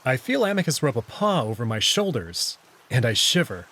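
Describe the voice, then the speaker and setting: A man's voice: mid-range voice, sing-song, normal speed, a bit bright, slight background noise. A man reading scripted first-person story narration from a visual novel, with acted dialogue. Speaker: narrator and voice reader.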